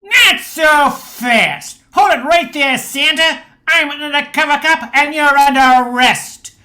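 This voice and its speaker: A woman's voice: high voice, slow pace, bright, forceful tone, heavily acted. A woman acting an eager little boy undercover cop in an amateur radio play. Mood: suspicious, bold.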